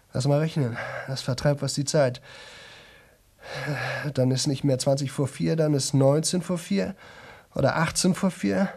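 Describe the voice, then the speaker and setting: An actor playing a boastful young man who talks nonstop to an audience in a radio play, chatty, rambling and repetitive. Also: fairly high pitch. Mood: weary, fixated. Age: young adult.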